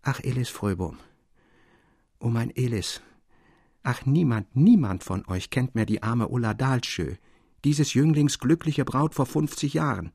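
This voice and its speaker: A man's voice: medium pitch, animated, a little slow, a little mellow, breathy edge, dry recording, studio quality. A man voicing a grief-stricken, imploring old woman, a faithful bride, in a literary audiobook reading. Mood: heartbroken lament. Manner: lamenting, imploring.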